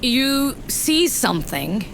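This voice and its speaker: Proud, slow-spoken young shaman. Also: fairly high pitch, big pitch swings, creaky, fairly bright tone, a little hiss or hum, full character voice, poor audio. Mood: guarded. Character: proud young female shaman of a frozen tribe. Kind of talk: fantasy audio drama acting.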